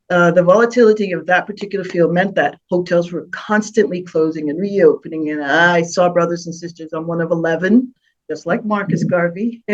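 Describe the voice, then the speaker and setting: Bahamian woman telling stories, lo-fi. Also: lowish voice. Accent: Bahamian with American touches. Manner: warm storytelling, laughs often, many restarts. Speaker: guest singer. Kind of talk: casual interview.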